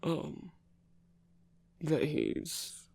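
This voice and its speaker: Man playing a gravelly, soft-spoken grumpy werewolf boyfriend. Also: a little high, wildly swooping pitch, exaggerated voice. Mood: earnest, hesitant. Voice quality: gravelly, husky, breathy. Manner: halting, sweary, hushed rambling with sighs. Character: grumpy but tender male werewolf boyfriend, gruff and sweary. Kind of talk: intimate ASMR roleplay monologue.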